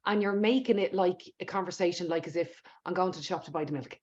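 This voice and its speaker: A woman's voice: lowish voice, quick pace. Woman hosting on a dull lo-fi line. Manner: warm, emphatic, repeats words for stress. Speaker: podcast host. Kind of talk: casual conversational interview. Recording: dull lo-fi audio.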